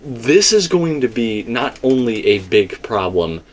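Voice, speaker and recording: A man's voice: mid-range voice, big pitch swings, a little slow. A man talking casually and speculatively as a host, emphatic and rambling, often repeating himself. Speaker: video game theorist and host.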